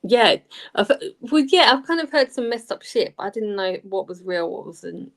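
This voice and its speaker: A woman chatting casually on a livestream, murmuring short, quiet replies and swearing now and then. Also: mid-range voice, animated, moderate pace. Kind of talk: casual chat.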